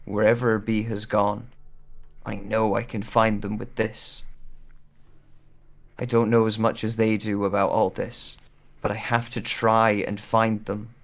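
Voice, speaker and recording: Guy doing an amnesiac searcher in an audio drama, low creaky voice, slow careful reading, intense n sad. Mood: sad, searching. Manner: measured, deliberate reading with pauses.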